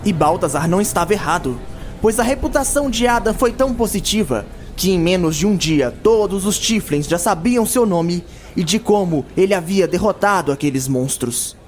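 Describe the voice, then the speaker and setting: A man reads as the narrator of a fantasy audio drama. His voice is pitched high for a man. He tells the tale from a script, with music clearly underneath. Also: expressive, normal speed.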